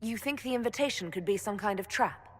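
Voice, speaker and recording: An actress playing a composed heroine in a video game, speaking in a direct, questioning way. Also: female voice, mid-range voice, lively intonation, a little fast, lightly acted. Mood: brisk. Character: composed, direct young heroine leading negotiations. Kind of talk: video game cutscene acting. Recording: reverberant hall sound.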